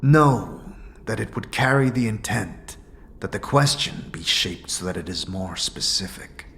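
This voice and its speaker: A man's voice: on the low side, lively intonation, a little slow, gravelly, fairly bright tone, slight background noise, heavily acted. A man playing a grave, stoic otherworldly warrior monk in a fantasy audio drama, speaking solemnly in formal, archaic phrasing. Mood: measured, instructive.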